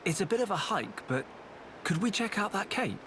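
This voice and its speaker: A man's voice: high-pitched, very animated, normal speed, background noise. A man playing a friendly, thoughtful young hero in a video game, speaking casually and politely. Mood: concerned, polite. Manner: casual, polite, mild phrasing. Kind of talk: video game cutscene acting. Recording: music behind the voice.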